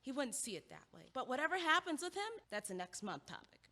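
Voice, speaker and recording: Woman acting a high-voiced, wry young student. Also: sing-song, fast, sharp tone, exaggerated voice. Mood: guarded, insistent. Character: young woman college student, sharp, wry, guarded, quick-witted. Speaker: actor in an audio play. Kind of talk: naturalistic comedic radio-play dialogue. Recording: echoey hallway-like reverb.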